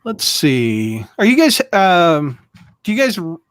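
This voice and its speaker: A man's voice: fairly high pitch, big pitch swings, takes it slowly, a bit bright, clear, resonant tone, no room sound. Male host for a casual call chat, laughs easily, dry close sound. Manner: chatty, laughs often, frequent restarts.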